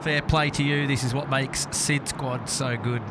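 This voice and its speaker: Aussie bloke hosting a live stream outside, chatty and jovial, traffic and airport noise behind him. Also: male voice, mid-range voice, fairly even intonation, unhurried. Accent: Australian. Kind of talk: casual live plane-spotting commentary with banter. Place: outdoors near an airport. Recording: outdoor airport ambience, clear vehicle noise.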